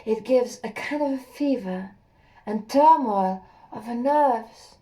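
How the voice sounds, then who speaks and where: Slow, hushed woman reading a story. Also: fairly high pitch. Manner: slow, hushed and measured, with a few slips and laughs. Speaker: audiobook reader.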